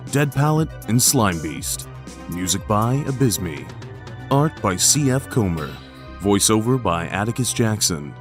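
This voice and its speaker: Low-voiced male podcast announcer. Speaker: announcer reading podcast credits. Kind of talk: scripted voiceover. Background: music.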